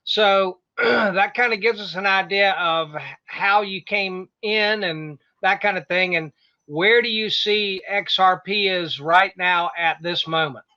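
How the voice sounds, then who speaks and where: A man's voice: high-pitched, unhurried, sharp tone, highs cut off. A male host asking interview questions in short, agreeable prompts.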